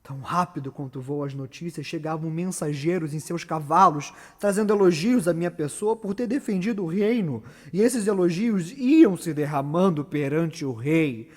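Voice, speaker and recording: A man with a Brazilian accent playing an ambitious, brooding nobleman in a theatrical Shakespearean monologue, declaiming solemnly with measured pauses. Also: on the high side, lightly acted. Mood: proud, agitated. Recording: reverberant room with long echo.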